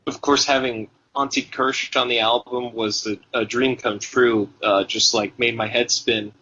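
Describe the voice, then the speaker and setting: A man's voice: medium pitch, fairly even intonation, unhurried, bright, forceful tone, a little hiss or hum. A man from Tennessee, a guitarist guest, answering interview questions in a rambling, hesitant way with many ums and restarts. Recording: dull, lo-fi sound.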